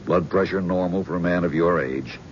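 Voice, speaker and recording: An actor playing a calm, probing male psychiatrist who speaks in a measured, frank way. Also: sits low, background noise, close to an everyday voice. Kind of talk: 1970s radio drama acting. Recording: dull vintage radio broadcast.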